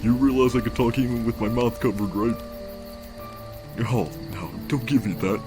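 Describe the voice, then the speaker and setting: A man voicing a weary, wisecracking skeleton big brother in a comic dub, casual and halting, with a tender, secretly anguished streak. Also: mid-range voice, fairly even intonation, lightly acted. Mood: tired, wry. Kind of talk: comic dub character acting. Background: background music.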